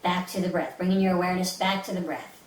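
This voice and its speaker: A woman's voice: lowish voice, flat delivery, fast. A woman leading a guided meditation as an instructor, speaking in a soothing, instructive way with short repeated phrases. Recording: roomy, distant mic with faint hiss.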